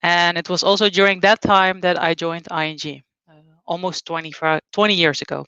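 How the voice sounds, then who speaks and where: Woman in her forties, smooth dull sound. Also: fairly low pitch, steady tone, brisk, bright, forceful tone, no room sound. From Suriname. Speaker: conference speaker. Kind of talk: webinar talk.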